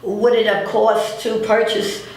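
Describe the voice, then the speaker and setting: A woman asks casual interview questions at a slow pace. Her voice has a medium pitch and comes from some way off the microphone, with heavy room echo. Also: flat delivery. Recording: echoey room, distant microphone.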